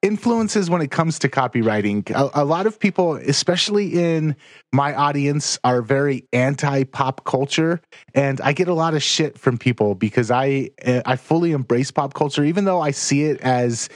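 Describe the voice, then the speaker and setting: A man's voice: mid-range voice, lively intonation, moderate pace, no echo. American guy hosting, chill questions w lots of uh's, dry close sound. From United States. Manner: conversational, hesitant fillers. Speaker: podcast host. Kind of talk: casual interview questions.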